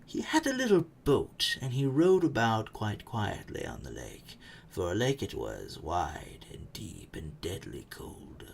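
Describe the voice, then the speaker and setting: A storyteller giving a dramatic, suspenseful reading of a book, with phrases often falling away into a hush. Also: mid-range voice, gravelly. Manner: expressive, suspenseful, hushed phrase endings. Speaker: narrator. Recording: faint background noise.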